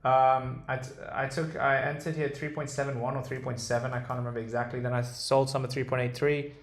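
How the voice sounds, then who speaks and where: A man's voice: medium pitch, little pitch movement, fairly quick. A young man with a British accent, casually talking through stock charts on a live stream and answering chat, hesitant with many ums and restarts. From Dubai. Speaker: day trader streamer. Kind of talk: casual livestream chart commentary, reading chat. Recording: roomy echo.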